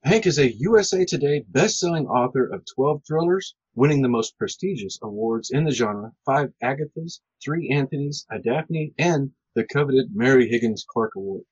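A man's voice: mid-range voice, lively intonation, moderate pace, hardly any pauses, breathy edge, highs cut off. A man hosting a podcast in a chatty, enthusiastic way. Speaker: podcast host.

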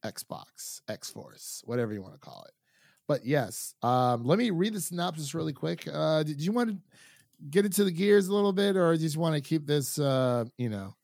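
A man's voice: on the high side, animated, normal speed, vocal fry, a little mellow, dry recording, studio quality. A man hosting a film review podcast, chatting in an informal, enthusiastic, rambling way. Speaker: podcast host. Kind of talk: casual film review chat.

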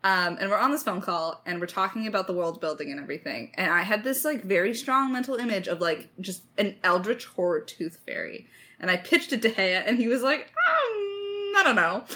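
A woman's voice: medium pitch, sing-song, brisk, bright, forceful tone. A woman telling stories casually as a writer in a creator Q&A, with playful mock-dramatic emphasis. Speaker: lead writer of an audio drama.

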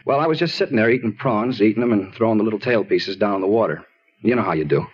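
Troubled, evasive young American: low husky voice, hurried repetitive delivery, old radio crime drama. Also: male voice. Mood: insistent. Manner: hesitant, repetitive, insistent. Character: anxious, evasive young man confessing to murder. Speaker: actor in a police radio drama. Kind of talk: 1950s radio acting. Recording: dull vintage 1950s radio recording.

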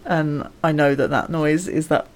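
Female podcast co-host, slightly low voice, casual, candid and chatty, happy to mock herself. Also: steady tone, normal speed. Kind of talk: casual chat.

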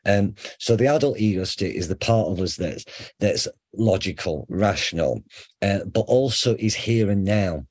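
A middle-aged man with a faint Sheffield accent talks through ideas in a relaxed, conversational way. His voice sits very low and has a husky edge. The sound is dry and close, with no room around it. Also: normal speed, no echo. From England. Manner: conversational, explains with examples, frequent fillers and restarts. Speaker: psychotherapist guest. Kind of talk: casual explanatory interview.

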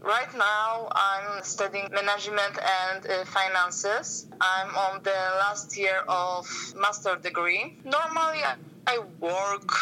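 A woman's voice: mid-range voice, bright, forceful tone, slight background noise, poor audio. A woman from Poland, a master's student, speaking hesitantly in simple sentences.

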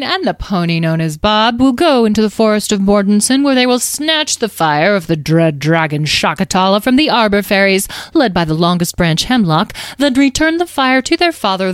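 A woman's voice: mid-range voice, clear, resonant tone, no room sound, studio quality, close to an everyday voice. A woman voicing a bossy, snobbish fairy leader in a storybook, with grandiose, emphatic phrasing and biting wit. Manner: grandiose, gushing, emphatic, sarcastic.

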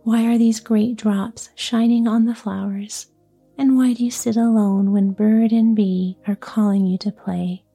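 Woman whispering as a gentle little fairy. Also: medium pitch, fairly even intonation, measured pace, breathy edge, soft background music. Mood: tender. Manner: soft whisper.

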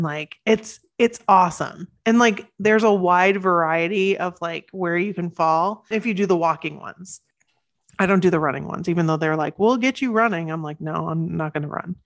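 A woman in her thirties co-hosting a podcast, chatting eagerly with quick asides, sniffly from the cold. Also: on the low side. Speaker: podcast co-host. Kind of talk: casual chat.

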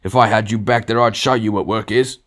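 Man voicing a blustering, indignant working-class ghost, raised pitch. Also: fairly quick, lightly acted. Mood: indignant, angry. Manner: blustering, argumentative, punctuating with demands. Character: big sulky, indignant, working-class male ghost, self-righteous grumbler. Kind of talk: literary audiobook character dialogue.

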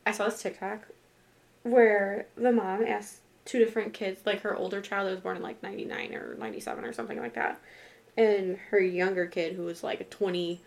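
A woman's voice: medium pitch, steady tone, fairly quick, creaky. A woman in her twenties chatting casually as a podcast co-host, rambling and slangy, with frequent swearing. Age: 20s. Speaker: true crime podcast co-host. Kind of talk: casual chat.

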